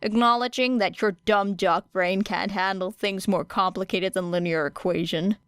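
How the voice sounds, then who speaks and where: A woman voicing a sardonic teenage boy who teases in a deadpan way. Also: medium pitch, moderate pace, clean tone, dry recording, lightly acted. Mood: teasing. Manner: deadpan, teasing. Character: dry sardonic teenage boy hero student. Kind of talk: audiobook character reading.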